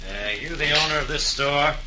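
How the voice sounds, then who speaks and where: A man's voice: on the high side, moderate pace, sharp tone, low-quality recording. An actor playing a brash, shifty male crook with slangy tough-guy talk in an old 1930s radio drama. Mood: brash, self-assured. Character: shifty, brash racketeer posing as a government agent. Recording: hissy 1930s radio recording.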